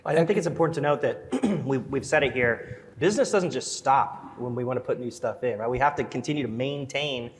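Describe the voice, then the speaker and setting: Fast-talking security executive on a live panel, hall reverb. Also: male voice, medium pitch, a little hiss or hum. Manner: rapid, with frequent restarts. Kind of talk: conference panel discussion. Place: conference stage.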